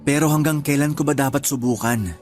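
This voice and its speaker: A man acting as a discouraged, bashful young boyfriend in a radio drama, with a hesitant, soft-hearted manner. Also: a little high, steady tone, fairly quick, soft background music, close to an everyday voice. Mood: dejected. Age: young adult. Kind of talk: Tagalog radio drama dialogue.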